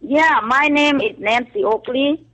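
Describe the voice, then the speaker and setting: A woman from Thailand with a Thai accent, calling in as a guest to a radio show and speaking halting English full of fillers. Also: high voice, fairly bright tone, lo-fi sound. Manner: halting non-native English with frequent fillers. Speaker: call-in guest, author. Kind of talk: phone-in radio interview. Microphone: telephone.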